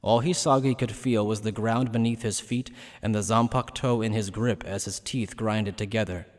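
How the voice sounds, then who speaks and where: A man's voice: fairly low pitch, fairly even intonation, normal speed, in a large hall. A man narrating a dramatic scripted fantasy action story.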